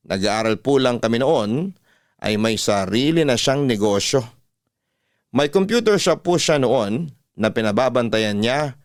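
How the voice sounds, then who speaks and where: A man's voice: medium pitch, smooth, dry recording, studio quality. A man with a Filipino accent reading letters as a radio host, in an earnest, sentimental classic storytelling style. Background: soft music.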